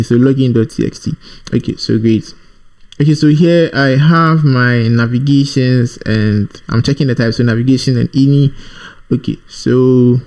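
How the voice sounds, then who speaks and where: A man's voice: mid-range voice, a little mellow. Man thinking aloud through a coding tutorial. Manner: thinking aloud while typing, halting, repeats himself. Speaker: programming tutorial presenter. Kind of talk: informal screencast coding tutorial.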